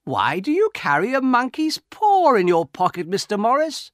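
An eager young son from a storybook, acted out by a man. His voice jumps high and swings widely. He is cheeky, curious and ready to tease what he doubts. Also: very animated, takes it slowly, fairly bright tone, no echo, clean studio sound, exaggerated voice. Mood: amused disbelief.

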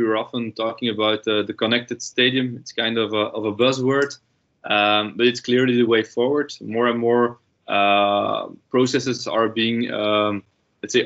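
Older man, measured panelist on lo-fi call. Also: mid-range voice, a bit bright. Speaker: industry panelist. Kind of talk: panel discussion. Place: home study with bookshelves. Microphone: laptop webcam video call. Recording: dull lo-fi video call audio.

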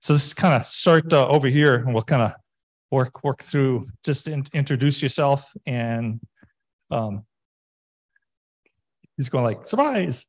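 A man's voice: medium pitch, lively intonation, unhurried, pauses often. A man moderating a roundtable in an informal, conversational way. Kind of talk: informal facilitating of a discussion.

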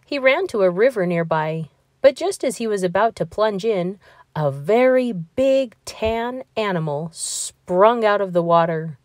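Woman reading a children's story with lively pitch. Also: dry recording. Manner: expressive, deliberate storytelling. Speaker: storybook reader. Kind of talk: children's read-aloud narration.

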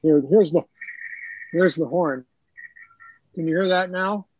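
Older man, higher-pitched voice, informal tutorial. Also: slow, a little mellow, slightly rough, no echo, lo-fi sound. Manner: conversational, explanatory. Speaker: presenter, hobbyist teaching video editing.

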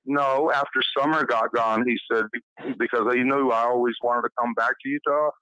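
A man's voice: mid-range voice, fairly even intonation, normal speed, bright, forceful tone, no echo, highs cut off. A man in a private phone conversation, ranting bitterly with swearing, heard on a recorded call.

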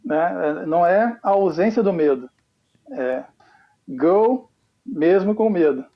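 A Brazilian man in his thirties talks with a Brazilian Portuguese accent as a guest on a video call. He is earnest and hesitant and pauses often with fillers. The webcam audio comes through dull and lo-fi. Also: high-pitched, animated. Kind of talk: casual video-call conversation. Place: home room with flag behind.

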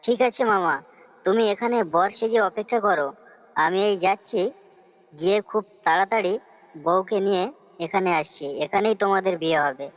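A woman voicing a cunning fox in a cartoon story, coaxing and flattering as it schemes. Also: medium pitch, normal speed, long pauses, lightly acted, low-quality recording. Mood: eager. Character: cunning fox, sweet-talking helpful schemer.

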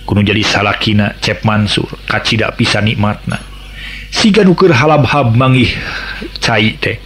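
A man's voice: lowish voice, a bit bright. A middle-aged man with a Sundanese accent narrating a radio folk tale, chatting to listeners in asides. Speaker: storyteller.

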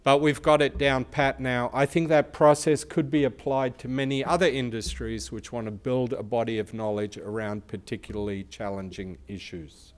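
A man with a big loud voice giving a conference presentation as a research institute speaker, explaining and asking the audience questions. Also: mid-range voice, fairly even intonation, normal speed, hardly any pauses.

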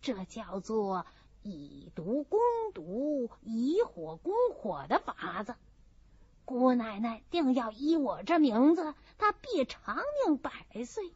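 A woman's voice: on the high side, sing-song, slow pace, a little mellow, breathy edge, dry recording, exaggerated voice. A woman voicing a good-humoured, humble old peasant granny in an audiobook, folksy and full of exclamations. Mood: cheerful, assured. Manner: folksy, exclaiming, self-effacing. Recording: dull, muffled audio.

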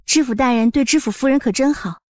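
A composed young physician wife in a period audio drama, played by a woman. Her fairly high voice is polite and measured with a sly edge, and it sounds close and dry. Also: darkish tone, no echo, lightly acted. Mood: feigned innocence.

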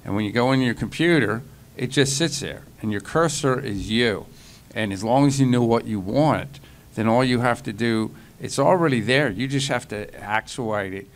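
A man's voice: mid-range voice, moderate pace. A middle-aged man speaking eagerly at a conference panel table into a mic on a stand. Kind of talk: casual panel talk.

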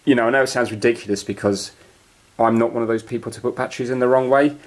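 A man's voice: medium pitch, steady tone, fast talker. A man thinking aloud in casual, unscripted commentary as he hosts a hands-on amateur repair video. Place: carpeted room at home. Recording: noisy home camera audio with clicks.

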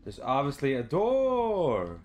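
A man's voice: medium pitch, wildly swooping pitch, takes it slowly, a little mellow. A young man giving casual, sweary game commentary, talking to himself as he reacts. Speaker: gaming YouTuber. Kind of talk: casual horror game let's play commentary. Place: home room, indoors.

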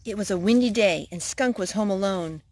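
A woman hosting storytime, reading aloud to young children in simple, measured phrases. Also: mid-range voice, a little mellow. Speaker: storytime host and narrator.

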